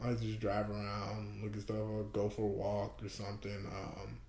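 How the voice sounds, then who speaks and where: Relaxed young man in a roomy studio. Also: on the low side, moderate pace, a little hiss or hum. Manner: relaxed, rambling storyteller who jokes about himself. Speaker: podcast guest, mixed martial arts fighter. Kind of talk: casual podcast chat. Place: podcast studio.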